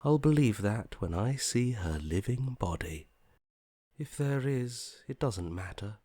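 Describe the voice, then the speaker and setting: An actor playing a detached, morbidly curious inner voice in a video game, with a languid, blasé delivery that softly trails off. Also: male voice, medium pitch, animated, slow pace, breathy edge, no echo, studio quality. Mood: cold indifference. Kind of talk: video game character voice acting.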